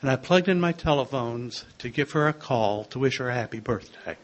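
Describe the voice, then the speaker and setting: Soft-voiced older man, wry storyteller. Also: medium pitch, expressive, fairly quick. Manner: gentle, wry storytelling with small laughs. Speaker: speaker sharing a recovery story. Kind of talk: personal testimony to a group.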